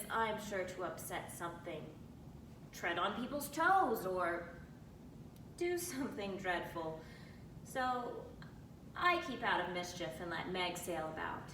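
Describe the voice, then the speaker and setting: A woman voicing a blunt, eager, awkward but friendly teenage tomboy girl in a dramatic reading of a classic novel. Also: close to an everyday voice. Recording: roomy, distant mic with rumbling noise.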